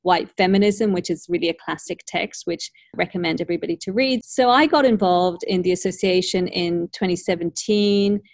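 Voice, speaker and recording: A woman who grew up in Ireland, hosting an academic conversation in a thoughtful, measured way with frequent hedges. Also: fairly low pitch, no room sound. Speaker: podcast host.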